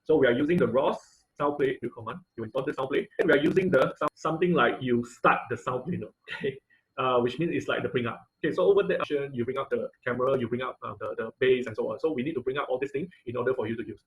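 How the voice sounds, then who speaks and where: A man teaching an online class in an informal, halting tutorial style, often checking in with listeners. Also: medium pitch, rough recording. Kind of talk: informal tutorial with live demonstration. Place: online video call class.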